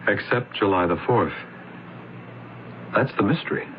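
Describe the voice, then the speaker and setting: Nosy suspicious neighbor man in old radio play, lowish voice, insinuating, tinny. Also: animated, a little slow. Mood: suspicious, insistent. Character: observant, insistent male neighbor full of suspicion. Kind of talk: radio drama acting. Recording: tinny vintage radio broadcast, faint noise.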